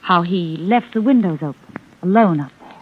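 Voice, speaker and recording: A woman's voice: fairly low pitch, animated, normal speed, narrow, tinny audio, lightly acted. A woman playing a self-assured young actress in a 1950s radio drama, coy and insinuating with pointed hesitations. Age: young adult. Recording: thin noisy vintage radio recording.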